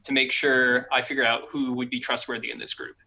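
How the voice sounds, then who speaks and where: A man's voice: medium pitch, little pitch movement, brisk, bright, forceful tone, a little hiss or hum. A man in casual table talk as a player in a tabletop role-playing game.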